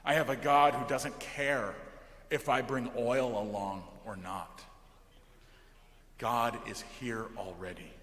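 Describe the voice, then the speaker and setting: An American man preaching a sermon as a pastor, earnest and emphatic, with phrases that often trail off softly. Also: medium pitch, steady tone, slow. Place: large indoor church during worship. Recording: echoey church hall with long reverb.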